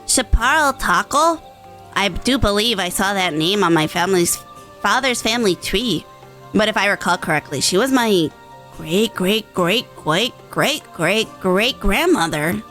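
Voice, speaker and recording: A woman's voice: mid-range voice, animated, a little slow, a bit bright. A woman plays a young, small rodent-folk scholar from a fantasy game. The voice is earnest and courteous, with formal, old-fashioned wording over faint music. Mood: curious. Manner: formal, archaic phrasing. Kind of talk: reading video game dialogue in character.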